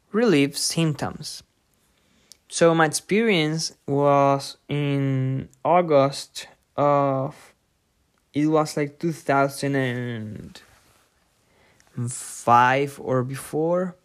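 A podcast host giving an informal lesson from a book, thinking aloud haltingly and repeating difficult words. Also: mid-range voice. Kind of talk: informal lesson read from a book with personal asides.

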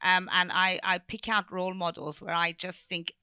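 A middle-aged woman dentist, English not her first language, explains her views in an earnest, filler-heavy interview style. Her voice is bright and smooth, and the sound is dry and close. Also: fairly low pitch, flat delivery, moderate pace, no echo. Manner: earnest, advice-giving, many fillers. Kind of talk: conversational interview answers.

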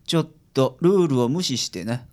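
A man with a Kansai accent acting a confident, frank private detective in a radio drama, explaining things in a measured way. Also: medium pitch, lively intonation, a little mellow, close to an everyday voice. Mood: confident, blunt. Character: confident, frank male private detective reporting calmly to a client. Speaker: amateur radio drama actor.

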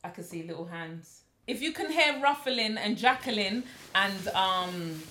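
A woman co-hosting a casual podcast chat in a loud, animated way, laughing often. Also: mid-range voice, measured pace, reverberant, slight background noise. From London, UK. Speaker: podcast co-host.

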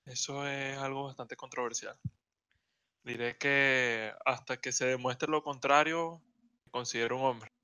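A Venezuelan man co-hosting a casual podcast, making dry deadpan jokes. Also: mid-range voice, unhurried, smooth, no echo. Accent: Venezuelan Spanish. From central Venezuela. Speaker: podcast co-host.

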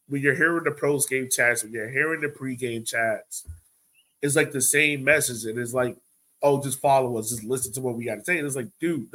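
A man's voice: mid-range voice, sharp tone. A man co-hosting a sports podcast, talking casually and emphatically, pressing his point by repeating it. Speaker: podcast host.

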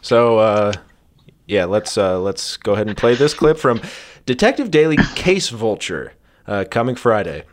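A man's voice: fairly low pitch, sing-song. A man chatting casually and hesitantly as he introduces clips on a comedy show. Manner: halting, hesitant.

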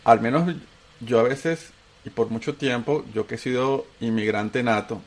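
A Colombian-born man with a Venezuelan accent, hosting a casual talk show and telling his story with animated emphasis. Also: medium pitch, unhurried. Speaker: host. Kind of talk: casual chat.

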